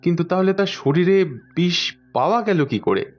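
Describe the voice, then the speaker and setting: A man playing a composed, probing detective in an audio drama, deliberate and thoughtful as he questions. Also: high voice, expressive, darkish tone, dull audio.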